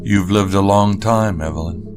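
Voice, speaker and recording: A woman's voice: very deep voice, moderate pace, pitched below the performer's own voice. A woman voicing a guarded, skeptical man who speaks in short, impatient questions. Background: guitar music.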